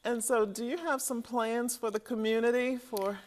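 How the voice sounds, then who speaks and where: A woman hosting a community interview program, introducing her guest and asking questions in a measured style. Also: a little high, steady tone, crowd noise. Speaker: interview host.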